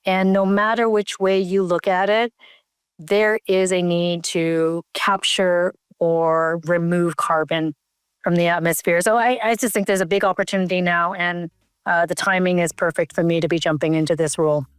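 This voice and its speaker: Canadian-raised woman ceo on a call, low-ish voice, lots of uhs and restarts. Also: steady tone, moderate pace, clear, resonant tone, no echo, clean studio sound. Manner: thoughtful, many fillers and restarts. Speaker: company CEO, guest. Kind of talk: conversational interview answers.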